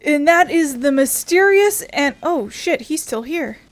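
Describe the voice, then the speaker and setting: A woman with a high-pitched voice comments casually on a game she is playing. She sounds like a video creator chatting through a playthrough. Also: animated, a little slow, dry recording.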